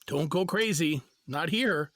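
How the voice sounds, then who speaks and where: A man's voice: fairly high pitch, takes it slowly, fairly bright tone. A man playing a bewildered, exasperated husband in a fantasy audio drama, flustered and sarcastic. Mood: wry, teasing. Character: exasperated, bewildered, wry husband lost in magical forest.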